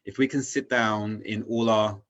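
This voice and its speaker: Low-voiced man, muffled sound, earnest and rambling. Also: steady tone, normal speed, a bit bright. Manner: earnest, rambling, philosophical, often checking in with "you know". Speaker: interview guest. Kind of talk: casual reflective conversation.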